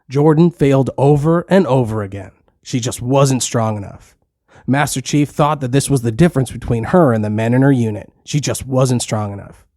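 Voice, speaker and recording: A man's voice: mid-range voice, darkish tone, dry recording. Man narrating a story in a dry, close recording. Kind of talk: scripted children's story narration.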